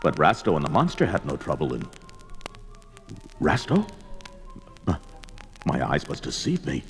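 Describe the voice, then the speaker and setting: An actor playing a fierce, brooding barbarian warrior in theatrical, declamatory 1970s audio drama style, talking aloud to himself. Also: male voice, very deep voice, wildly swooping pitch, unhurried, faint music behind, full character voice. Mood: urgent, awed.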